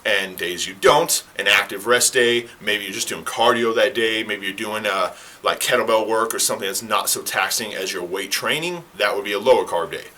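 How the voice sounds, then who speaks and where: A man who is a fitness coach, talking casually to the camera in a rambling, self-correcting way. He sounds slightly hoarse. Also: fairly low pitch, moderate pace, hardly any pauses, bright, forceful tone. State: slightly hoarse, clearing throat. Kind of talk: casual explainer talk to camera.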